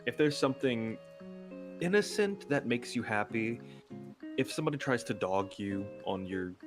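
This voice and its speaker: A man's voice: mid-range voice, expressive, moderate pace. A young man chatting casually as a stream guest, telling personal stories in a candid, hesitant, self-deprecating way. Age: young adult. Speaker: ASMR creator and stream guest. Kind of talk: casual chat, personal anecdotes. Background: background music.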